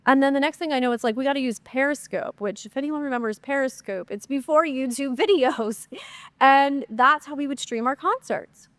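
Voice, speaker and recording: A woman podcast host chats away on her own show, her voice slightly high and stuffed up from a cold. She talks upbeat and casual, full of fillers. The close mic sounds dry, with no room around her. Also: normal speed, a bit bright, dry recording. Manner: upbeat, chatty, many fillers. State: congested, nasal from a cold. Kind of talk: solo podcast Q&A, casual chat.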